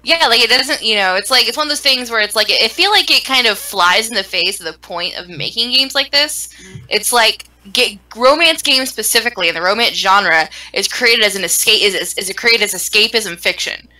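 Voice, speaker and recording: A woman in a casual game discussion, rambling and animated, with some swearing. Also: fairly high pitch, normal speed, low-quality recording. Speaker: gaming stream panelist.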